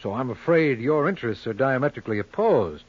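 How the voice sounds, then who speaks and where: An actor playing a shrewd, easygoing criminal lawyer with wry, bantering delivery in an old-time radio crime drama. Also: male voice, medium pitch, animated, brisk, husky, dry recording, highs cut off. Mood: probing.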